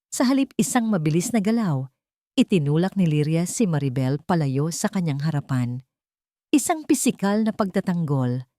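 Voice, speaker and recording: A woman narrating a dramatic story from a script in an emotive, deliberate way. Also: low voice, mellow, no room sound, clean studio sound. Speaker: audiobook narrator.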